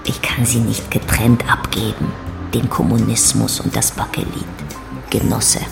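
Blunt warehouse woman, slow deliberate delivery, heavy fry, mocking, breathy half-whispers, radio play. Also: rumbling low, level tone, music in the background, full character voice. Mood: intense, defiant. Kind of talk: stylised radio play acting.

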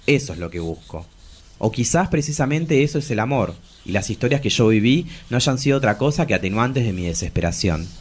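An actor playing a bawdy, melancholic travesti poet in their forties in a theatrical, confessional radio drama. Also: male voice, mid-range voice, animated, fairly quick, slightly rough. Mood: wistful. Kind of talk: radio drama dialogue and monologue.

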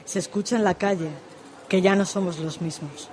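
A woman's voice: mid-range voice, moderate pace, a little mellow, slight background noise. A woman poet speaking as a guest on a radio show, reciting verse and giving short replies. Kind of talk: poetry reading and brief interview replies.

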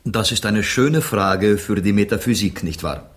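An actor in a radio drama playing a courteous, curious Spanish gentleman who speaks in polite, ornate phrases and slips into Spanish. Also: lowish voice, unhurried, close to an everyday voice. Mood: courteous, musing.

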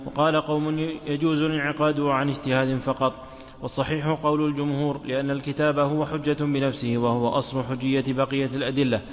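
A man reading a text on Islamic law aloud in a mosque study circle, in a rapid monotone that stumbles over words. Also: mid-range voice, a little hiss or hum. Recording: dull lo-fi recording in a reverberant hall.

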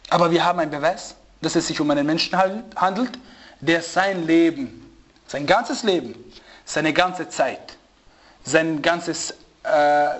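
Male religious teacher, high voice, emphatic, repeats points and quizzes his class. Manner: emphatic, repeats key phrases, asks rhetorical questions; searches for German words and asks students. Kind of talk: religious lesson to students.

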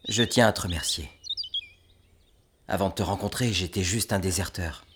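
Male deserter, played by an actor: deep register, earnest drama delivery, noisy birdsong backdrop. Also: moderate pace, lightly acted. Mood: grateful, solemn. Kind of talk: audio drama acting.

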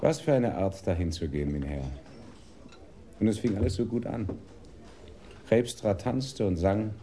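A weary, melancholy male detective in a radio crime drama. His voice is deep and dark, and he broods with quiet resignation. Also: lively intonation, unhurried. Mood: melancholy, resigned.

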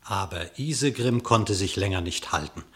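A man's voice: low-pitched, animated, normal speed, a bit bright. A man narrating a classic radio drama in scripted verse.

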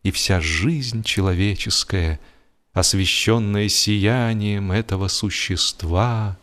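A man reading literary prose as an audiobook narrator, in a solemn, measured storytelling manner. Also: fairly low pitch. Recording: music behind the voice. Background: music.

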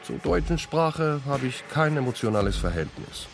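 An actor playing a reflective male author who narrates his own story in a radio play, deliberate and clearly phrased. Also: medium pitch, sing-song. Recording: music under the voice.

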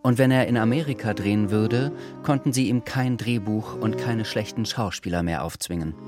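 Low-voiced male narrator over music. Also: moderate pace. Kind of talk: scripted literary radio drama narration.

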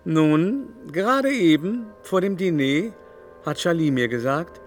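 Arch, gossipy society dandy, fairly high voice. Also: male voice, expressive, a little slow, soft background music. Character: teasing, gossipy, affected male society dandy. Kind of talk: literary radio drama acting.

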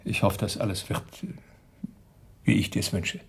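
A man acting in a radio play as a scheming, self-assured official with a gravelly, husky voice. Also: fairly low pitch, flat delivery, a little slow, heavily acted. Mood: urging, hearty.

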